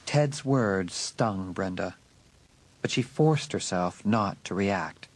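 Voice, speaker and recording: A man narrating a horror audiobook in a dramatic, suspenseful way with deliberate emphasis. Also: low-pitched, lively intonation, a little hiss or hum.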